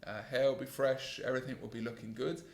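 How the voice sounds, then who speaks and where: Calm gravelly-voiced man in an echoey room. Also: mid-range voice, quick pace. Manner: calm, earnest, self-critical, with frequent restarts. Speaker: podcast host and sales trainer. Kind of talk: solo reflective podcast monologue.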